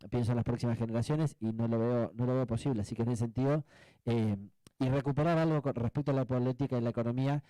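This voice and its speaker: Argentine man, very mellow dark voice. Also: mid-range voice, no room sound, poor audio. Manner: reflective, halting, often seeking agreement with tag questions. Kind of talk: spontaneous panel discussion answer.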